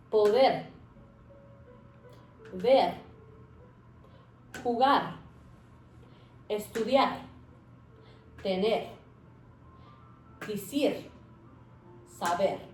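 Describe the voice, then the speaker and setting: A woman's voice: fairly high pitch, a little mellow, a little hiss or hum. A young woman from Mexico, giving a casual spoken language lesson in a chatty, encouraging way with fillers and asides. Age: 20s. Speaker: language teacher. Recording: roomy echo with faint music.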